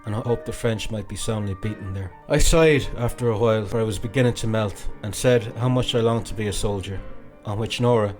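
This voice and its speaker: A man reading a classic novel as an audiobook narrator, in an expressive, dramatic storytelling way. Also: lowish voice, a little fast, faint music behind.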